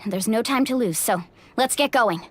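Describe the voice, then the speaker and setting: Game role: woman playing determined young heroine, high pitch, emphatic and loyal, encouraging, faint music bed. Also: moderate pace, natural voice. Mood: resolute, grateful. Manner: earnest, emphatic, warm encouragement. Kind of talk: English video game voice acting.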